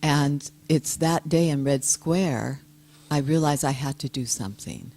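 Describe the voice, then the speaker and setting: An older woman, a guest speaker giving a soft-spoken, gentle and reflective personal talk to an audience. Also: sits low, unhurried.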